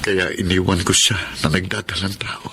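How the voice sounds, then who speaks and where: An actor playing a middle-aged funeral parlor owner, earnest and halting, in a Filipino radio drama. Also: male voice, low voice, little pitch movement, noisy background. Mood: remorseful. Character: remorseful, well-off funeral parlor owner with a past. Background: music.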